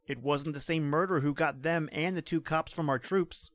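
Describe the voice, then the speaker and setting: Man playing a brash, high-voiced young trooper. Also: steady tone, normal speed, dry recording, lightly acted. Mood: teasing, dismissive. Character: brash young police trooper, cocky and practical. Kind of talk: old-time radio drama acting.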